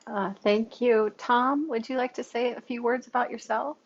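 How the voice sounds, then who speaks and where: Higher-pitched woman hosting on dull audio. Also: fairly even intonation, normal speed. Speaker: meeting host. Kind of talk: moderating an online meeting.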